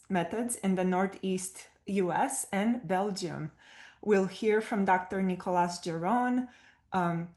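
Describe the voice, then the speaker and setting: A woman with a Romanian accent introduces a panel over a video call, her voice sitting at an ordinary middle pitch. She reads bios aloud haltingly, with plenty of ums and restarts on tricky names. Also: darkish tone. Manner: hesitant, many fillers, stumbles over names. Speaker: moderator. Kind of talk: reading speaker bios on a webinar.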